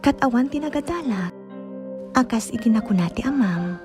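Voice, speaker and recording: Woman playing a meek, high-voiced young Filipino wife. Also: expressive, moderate pace, music in the background, natural voice. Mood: sad, patient. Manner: hesitant, apologetic, submissive. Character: meek, patient young wife, humble before in-laws. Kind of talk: Ilocano radio drama acting.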